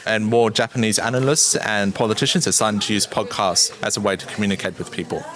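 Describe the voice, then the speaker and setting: A man from Australia, a guest giving clear, informative answers in a conference interview. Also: lowish voice, steady tone, quick pace, a bit bright. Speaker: interview guest, podcast producer. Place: busy conference venue. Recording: noisy crowd around the mic. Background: crowd chatter.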